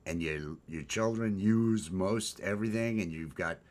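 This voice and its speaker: Rambling baritone man hosting a chat. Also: measured pace, darkish tone. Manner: rambling, with many restarts and hesitant fillers. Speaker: host. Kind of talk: casual morning livestream chat.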